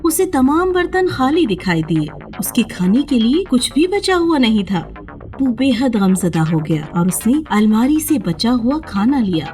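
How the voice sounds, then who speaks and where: Animated woman storyteller, fairly high voice. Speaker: storyteller, narrator. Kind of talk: scripted children's fairy-tale narration.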